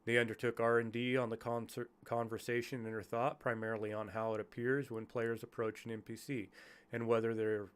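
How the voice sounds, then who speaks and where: A man's voice: mid-range voice, flat delivery, clear, resonant tone. A sick, congested man with a nasal voice reads a technical development report aloud, stumbling and restarting over jargon as he goes. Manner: halting reading with stumbles over technical terms. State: congested from illness. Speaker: YouTuber reading game development notes.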